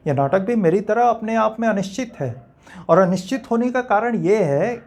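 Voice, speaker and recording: Male actor as enigmatic uncertain man, theatrical monologue, reflective, rhetorical, deliberate pauses, philosophical address to an audience. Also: high voice, expressive, vocal fry, a little mellow. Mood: brooding, questioning.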